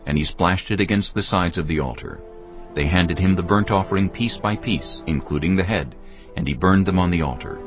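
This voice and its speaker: A man with a very low voice narrates scripture solemnly and deliberately, with lively rises and falls for emphasis. Prominent music plays beneath him. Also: gravelly, lo-fi sound. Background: prominent background music.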